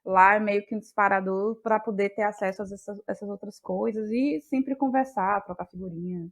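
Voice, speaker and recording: A woman with a Bahian Brazilian accent, speaking as an interview guest in a reflective, conversational way, often halting to search for words. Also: mid-range voice, steady tone. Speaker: guest, playwright and actress.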